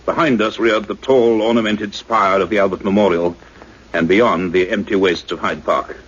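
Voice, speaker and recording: A man playing an earnest scientist doctor in a radio play, narrating his own adventure in a measured, suspenseful, literary way. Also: lowish voice, steady tone, fairly bright tone, husky. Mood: grave suspense. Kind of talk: dramatic first-person radio play narration. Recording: dull noisy radio play recording.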